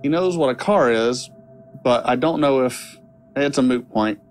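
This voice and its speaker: A man's voice: mid-range voice, measured pace, darkish tone, close to an everyday voice. Man playing a wry, wisecracking magician, faint music. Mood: uneasy, dismissive. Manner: sarcastic, conversational. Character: wry, wisecracking young male stage magician. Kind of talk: audio drama acting.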